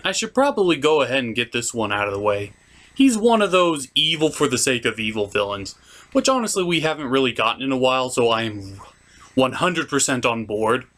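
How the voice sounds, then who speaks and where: A man's voice: mid-range voice, very animated, fairly quick, fairly bright tone. A man giving casual comic review commentary in an offhand, rambling, sardonic way. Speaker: reviewer and puppeteer host.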